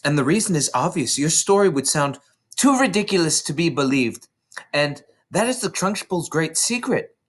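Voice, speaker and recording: Man as clever storybook schoolgirl, raised pitch, very animated, confident, emphatic, sure of herself. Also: normal speed. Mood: knowing, emphatic. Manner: emphatic, explaining with certainty.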